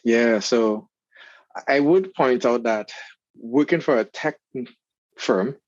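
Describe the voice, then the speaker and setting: A man with a Trinidadian accent, a guest in an interview, speaking in an earnest, measured way with fillers. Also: mid-range voice, dry recording, lo-fi sound. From Trinidad and Tobago. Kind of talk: casual interview chat.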